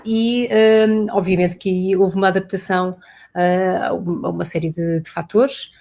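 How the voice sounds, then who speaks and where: A woman's voice: lowish voice, little pitch movement, normal speed, darkish tone. A woman from Portugal with a European Portuguese accent, a company CEO speaking as a guest in a live online interview, hesitant, with many fillers and repeated words. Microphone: video call.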